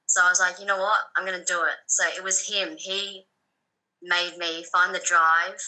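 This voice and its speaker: A woman in her thirties, from Thailand and raised in Australia, answering interview questions in a soft, hesitant way with frequent pauses. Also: fairly low pitch, little pitch movement, poor audio. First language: Thai. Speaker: guest. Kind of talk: personal story interview.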